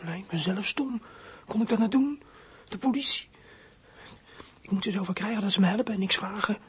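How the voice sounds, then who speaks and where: Husky, brooding runaway teenage boy. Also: mid-range voice, fairly quick. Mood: nervous, resentful. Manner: brooding inner monologue, muttering to himself. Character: fourteen-year-old runaway boy, sullen, bitter, hunted. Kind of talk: radio play acting.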